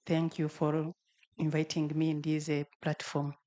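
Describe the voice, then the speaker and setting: A woman's voice: low voice, completely flat delivery, measured pace, dark tone. A woman legislator giving a hesitant, formal self-introduction at a policy dialogue. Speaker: regional legislator.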